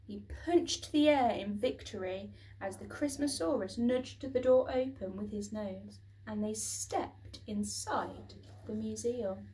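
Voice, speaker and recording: Female children's storyteller: very unhurried, dramatic, expressive read-aloud, slightly distant from the mic. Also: fairly high pitch, slight background noise. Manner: dramatic, with acted sound words.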